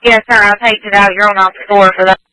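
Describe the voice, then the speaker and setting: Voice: medium pitch, flat delivery, quick pace, bright, forceful tone, clean tone, dry recording, low-quality recording. An emergency dispatcher speaking in clipped, procedural calls over a two-way radio.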